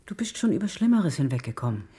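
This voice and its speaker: An actress playing a brisk, practical, no-nonsense foster mother in a radio drama. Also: low voice, sing-song, noisy background. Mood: gentle, serious.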